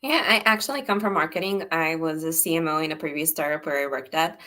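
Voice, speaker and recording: A young woman from Serbia, a startup founder, chatting in a relaxed way as a guest on a video call interview. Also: low-pitched, fast talker. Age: young adult. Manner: relaxed, chatty, frequent "like" fillers. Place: indoor room, bright wall behind.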